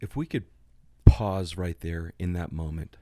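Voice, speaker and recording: A man co-hosting a podcast, reflecting in a halting, thoughtful way as he interviews. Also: sits low, warm tone, no room sound, studio quality. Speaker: podcast co-host.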